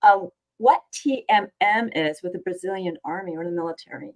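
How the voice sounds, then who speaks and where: A woman hosting an interview, asking questions in a relaxed, friendly way and joking along with her guest. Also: lowish voice, normal speed. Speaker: host. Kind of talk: casual interview conversation.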